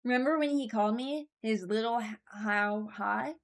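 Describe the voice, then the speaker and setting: A woman's voice: a little high, normal speed. A woman hosting a ranking video, giving casual, opinionated commentary as she muses aloud. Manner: informal, musing aloud. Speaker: video host ranking voicelines.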